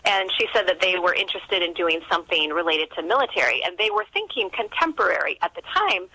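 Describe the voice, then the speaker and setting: A woman's voice: on the low side, bright, forceful tone, no room sound, rough recording. A middle-aged American woman talking conversationally as an interview guest. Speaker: author, podcast guest.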